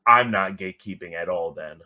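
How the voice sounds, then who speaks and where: A man's voice: sits low, fairly quick, bright, forceful tone. A man speaking as a podcast guest, giving casual, reflective explanations and checking that he is understood. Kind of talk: casual conversational interview answers.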